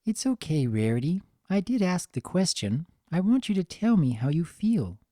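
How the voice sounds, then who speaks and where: A reader voicing a gentle, anxious young female pony with a soft, hesitant, earnest manner in a story reading. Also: medium pitch, big pitch swings, normal speed, no echo, clean studio sound, voice pushed up, natural voice. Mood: earnest, pressing.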